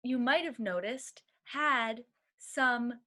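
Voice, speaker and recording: A woman teacher giving an expressive, animated read-aloud for young children. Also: fairly high pitch, slow. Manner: expressive, clearly addressing children. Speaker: elementary school teacher.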